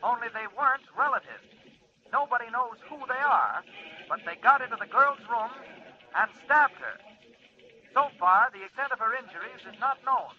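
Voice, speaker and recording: Pressing newsman phoning in copy, high-pitched, old muffled radio sound. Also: animated, normal speed. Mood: urgent. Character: urgent newspaper reporter man phoning in a story. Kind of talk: 1940s radio acting, dictating news. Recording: dull, lo-fi old radio recording.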